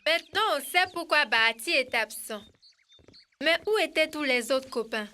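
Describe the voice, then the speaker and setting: A woman's voice: sits high, expressive, moderate pace, loud music behind the voice. A woman voicing a helpful, earnest schoolchild in a children's cartoon. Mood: concerned. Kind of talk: French-language children's cartoon dub.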